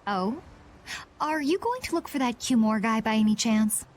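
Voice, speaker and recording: An actress playing a composed young woman adventurer in a video game, calm, guarded and lightly teasing. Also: on the high side, normal speed, a little hiss or hum, natural voice. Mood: coolly teasing.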